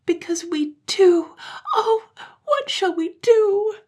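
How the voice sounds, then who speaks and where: A woman voicing two tearful little sisters who plead in high, sobbing voices. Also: expressive, a little slow, darkish tone.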